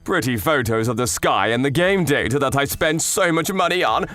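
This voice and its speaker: A man voicing a loud, selfish male schoolteacher who is hooked on his phone, shouting and whining in a manga dub. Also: medium pitch, animated, normal speed, soft background music, lightly acted. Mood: upset.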